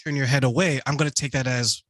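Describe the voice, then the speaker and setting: A man's voice: mid-range voice, quick pace, no room sound. Easygoing male interview host, dry recording, asks rambling questions. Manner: relaxed, laughs easily, asks long questions. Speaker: show host. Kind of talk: conversational interview.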